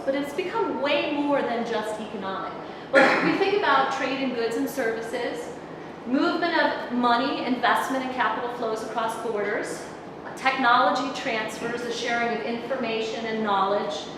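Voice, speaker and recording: A middle-aged woman lectures in a lively, conversational way, projecting her fairly high voice across a conference room with no microphone. She is picked up from a distance, so her voice rings with a long hall reverb over clear background noise. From United States. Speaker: university professor giving a talk. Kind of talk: public lecture. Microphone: far camera, no microphone.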